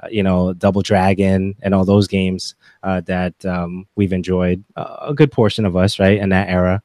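A man in his forties talking reflectively as a podcast guest, with halting, deliberate phrasing. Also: deep voice, flat delivery, darkish tone, no room sound, clean studio sound. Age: 40s. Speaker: podcast guest, gaming content creator. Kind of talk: reflective interview talk.

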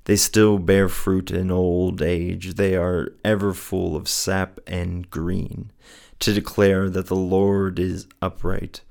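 A man speaks in a deep, soothing voice. His delivery is earnest and gently encouraging, in the reflective style of someone hosting a personal show about faith. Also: level tone, slow pace, gravelly. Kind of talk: casual reflective solo talk with scripture reading.

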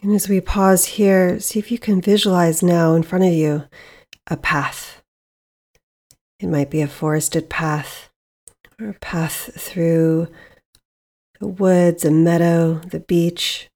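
Mellow, low-pitched woman guiding meditation. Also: steady tone. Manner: soothing, measured, with long pauses. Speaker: meditation guide, podcast host. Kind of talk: guided meditation narration.

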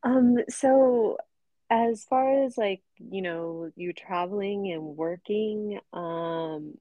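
A woman's voice: mid-range voice, slow, dry recording, phone-quality sound. An American woman hosting a casual interview, chatty and laughing often. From United States. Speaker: podcast host.

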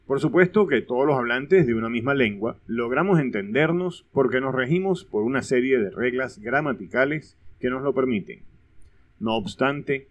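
A man with a Venezuelan accent giving scripted educational narration in a measured, explanatory way. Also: mid-range voice, slight background noise.

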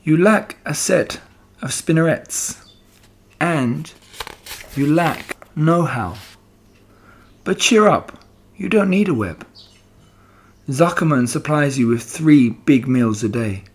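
A man voicing a gentle, patient, clever female spider who teaches calmly in a children's storybook. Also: on the high side, slow, pauses often, slightly rough, pitched above the performer's own voice, heavily acted. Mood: frank, consoling. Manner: calm, instructive, reassuring.

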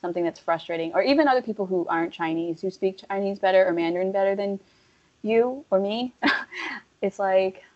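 A young woman talking casually and at length, full of fillers, turning animated when she quotes other people. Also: mid-range voice, fairly quick. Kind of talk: casual conversation. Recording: dull lo-fi audio.

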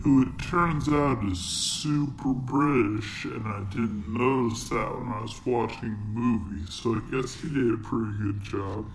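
A man co-hosting a casual movie podcast, talking slowly and relaxed with joking asides. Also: medium pitch. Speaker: podcast co-host. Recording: noticeable background noise.

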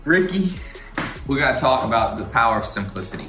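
A man hosting a casual motivational talk, blunt and animated, swearing and jumping between topics. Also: medium pitch, brisk, over music. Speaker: host. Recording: echoey room, faint noise.

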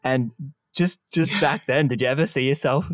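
A man co-hosting a podcast, asking questions in a relaxed, jokey way with some restarts. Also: mid-range voice, normal speed, no room sound, faint music behind. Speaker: podcast co-host. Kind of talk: casual podcast chat.

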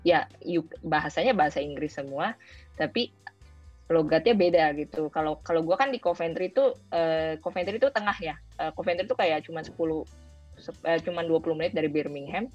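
Young female guest, Jakarta accent, low voice, informal chat sprinkled with English, music behind. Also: a little fast. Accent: Indonesian, Jakarta colloquial. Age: young adult. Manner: informal, mixes in English phrases. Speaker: guest, former overseas student. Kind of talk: casual interview chat. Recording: dull lo-fi audio with music.

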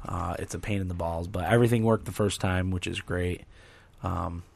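Raspy low-voiced man hosting a podcast. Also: steady tone, normal speed, a little mellow. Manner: rambling, many restarts. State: hoarse, recovering lost voice. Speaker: podcast host. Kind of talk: casual gaming podcast chat.

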